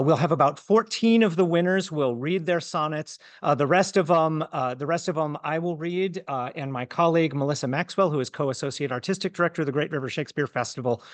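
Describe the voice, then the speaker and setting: A man with a slightly high voice speaks as the host of an arts event. He addresses a gathering in a formal public-speaking way. The sound is dry, with little echo. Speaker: host, festival artistic director. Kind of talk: welcome speech at a poetry awards event.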